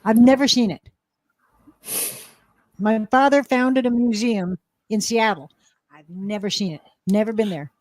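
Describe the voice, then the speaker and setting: A middle-aged woman talks casually as a panelist on a live stream. Her voice sits a bit high, and the sound is dry with little room in it. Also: measured pace, pauses often, darkish tone, no room sound. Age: 50s or older.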